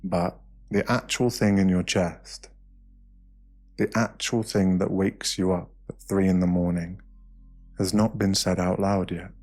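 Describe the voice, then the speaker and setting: A British man narrating an ASMR guided meditation in a slow, hushed, soothing way, with short phrases and pauses. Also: low voice, fairly even intonation, soft background music.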